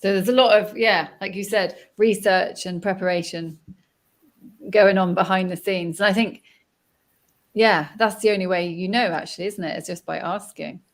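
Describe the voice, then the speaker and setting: A woman's voice: medium pitch, fairly even intonation, moderate pace. A woman hosting an interview in casual chat, encouraging her guest and affirming what she hears. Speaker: interviewer, host.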